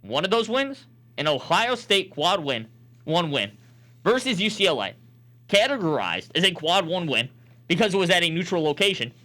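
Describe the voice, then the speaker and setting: A man's voice: high voice, sing-song, moderate pace, bright, forceful tone. A young man working as a sportscaster, delivering sports commentary and opinion in an emphatic, argumentative manner. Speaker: sportscaster and sports talk host.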